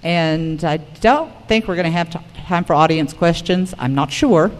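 A woman's voice: on the low side, normal speed. A woman moderating a public forum, reading prepared material aloud to the room. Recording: reverberant hall recording.

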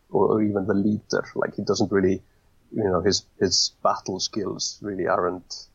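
A man's voice: fairly low pitch, gravelly, slightly rough, no room sound, highs cut off. A man guest on a podcast, talking casually and hesitantly with many fillers and restarts. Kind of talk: casual interview talk.

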